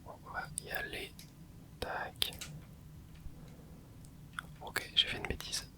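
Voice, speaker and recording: A young man hosting an ASMR channel, softly whispering a relaxed running commentary as he plays. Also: takes it slowly. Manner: soft close whisper, relaxed running reactions. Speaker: ASMR gaming channel host.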